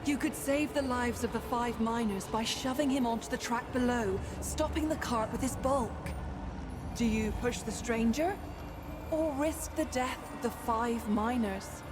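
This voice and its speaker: A woman acts out a mysterious, otherworldly female seer for a fantasy game. The voice sits a little high and moves slowly, riddling and playfully probing. Music is clearly audible behind it. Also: lightly acted. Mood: measured, probing. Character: ethereal wandering female seer spirit, playful, cryptic, probing. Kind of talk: theatrical fantasy game dialogue.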